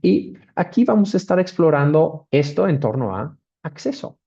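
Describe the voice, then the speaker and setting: A man with an Australian accent presents on a video call, his voice mellow and rather dark. He hesitates often, filling gaps and restarting phrases. The audio comes through dull and muffled. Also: on the high side, sing-song, normal speed, gravelly, husky. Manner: hesitant, with many fillers and restarts. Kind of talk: webinar presentation.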